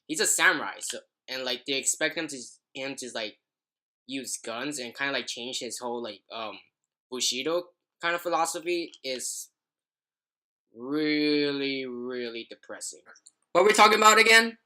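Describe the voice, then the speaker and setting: Male podcast host, bright tone, loose rambling casual talk full of filler words. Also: mid-range voice, animated, normal speed. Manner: rambling, leans heavily on "like". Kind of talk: casual chat.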